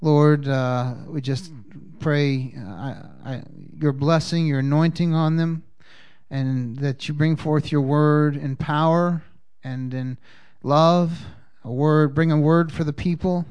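Halting man leading church prayer. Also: a little high, fairly even intonation, a little mellow, clean tone, dull audio. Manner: halting, many fillers and restarts, folksy. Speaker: congregation leader.

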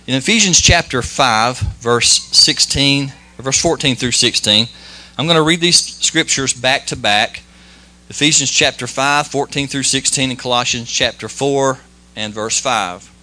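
A man delivers a homespun sermon, his voice bright and forward. He talks plainly and modestly, circling back over his words with frequent restarts and filler sounds. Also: medium pitch. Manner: halting and folksy, many restarts and fillers. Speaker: lay preacher. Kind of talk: informal church sermon with prayer.

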